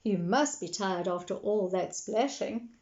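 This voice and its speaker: A woman's voice: mid-range voice, a little slow, darkish tone, dull audio, natural voice. A woman voicing a mild, tired father pig who coaxes patiently in a children's storybook. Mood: coaxing.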